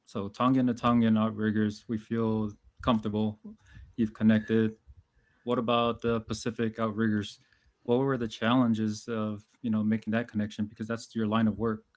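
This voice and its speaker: A man's voice: fairly low pitch, little pitch movement, normal speed. A man hosting an interview, asking casual questions in an easygoing, supportive way. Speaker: interview host.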